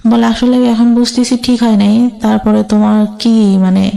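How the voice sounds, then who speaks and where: A woman's voice: on the high side, level tone, normal speed, vocal fry, mellow, low-quality recording. A woman with a Bangladeshi accent telling her life story as a guest in conversation, colloquially recounting who said what. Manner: recounts quoted exchanges in colloquial speech.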